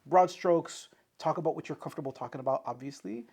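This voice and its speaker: A woman interviewing a guest in a playful, teasing way, full of quick reactions. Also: low voice, a little mellow, husky. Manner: playful, teasing, eager backchannels. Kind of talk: casual conversational interview.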